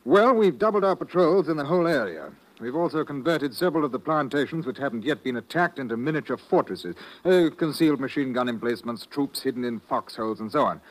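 A brisk, officious colonial officer rattles off his report in clipped, quick phrases. A man plays him in a vintage radio drama, his pitch leaping up and down as he talks. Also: lively intonation, lightly acted, poor audio. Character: brisk British colonial agent, middle-aged man. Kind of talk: 1940s radio acting.

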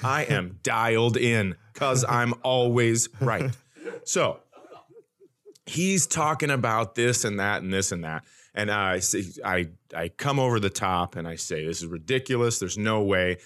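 A man's voice: lowish voice, animated, a little slow, fairly bright tone. A man in his forties, a comedian and podcast host, telling stories casually and acting out the dialogue with mock bravado. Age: 40s. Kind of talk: casual comic storytelling.

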